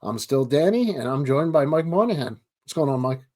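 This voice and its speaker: A man's voice: fairly high pitch, lively intonation, brisk, warm tone. A man in his thirties with a Baltimore accent, hosting a casual interview over a video call from a home office, jokey and chatty, stumbling over his words now and then. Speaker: host. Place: home office with framed pictures. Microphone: webcam video call.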